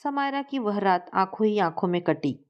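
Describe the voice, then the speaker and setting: Female storyteller for scripted narrative reading, mid-pitched voice. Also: expressive, moderate pace, smooth, highs cut off.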